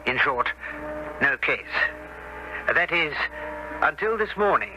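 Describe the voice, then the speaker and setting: An actor playing a measured, matter-of-fact police inspector in a radio drama, formal and deliberate. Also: male voice, fairly high pitch, sing-song, muffled recording, over music. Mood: grave, deliberate. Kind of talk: 1970s radio drama acting and narration.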